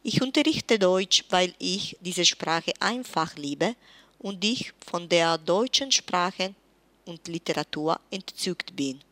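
A woman in her forties from Italy, with an Italian accent and Italian as her first language, giving a careful, measured self-introduction as a German teacher. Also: lowish voice, lively intonation, fairly bright tone, dry recording. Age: 40s. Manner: careful, measured, slightly formal.

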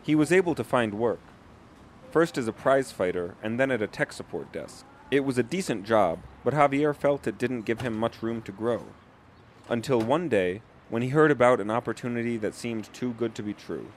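A man reporting, reading a scripted news narration with measured, even delivery. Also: mid-range voice, slight background noise.

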